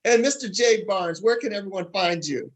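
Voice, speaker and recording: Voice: mid-range voice, lively intonation, normal speed, crowd noise. Upbeat-paced show host with mid-range voice. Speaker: host interviewer. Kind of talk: promotional interview hosting.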